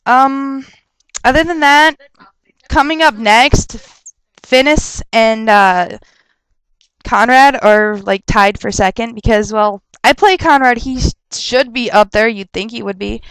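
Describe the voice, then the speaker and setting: A young woman rambling casually on a webcam vlog, chatty and quick to laugh and rant, her nose stuffed up from a cold. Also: a little high, a bit bright, smooth, no room sound, low-quality recording. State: stuffed up with a sore throat. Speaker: vlogger answering viewer questions.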